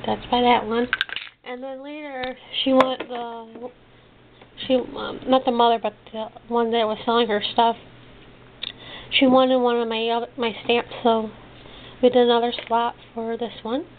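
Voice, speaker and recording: A woman giving a casual, halting show-and-tell of her craft haul, trailing off and rephrasing as she goes. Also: a little high, flat delivery. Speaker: hobby crafter showing purchases. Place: indoors at a desk. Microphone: handheld camera's built-in microphone. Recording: muffled phone-like sound, clicky background noise.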